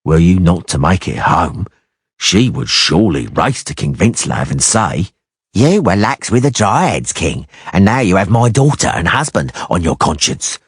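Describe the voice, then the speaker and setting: A man voicing a cold, menacing assassin who drawls extremely slowly, in an audiobook reading. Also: deep voice, big pitch swings, husky, no room sound, studio quality, heavily acted. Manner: slow drawl, sinister.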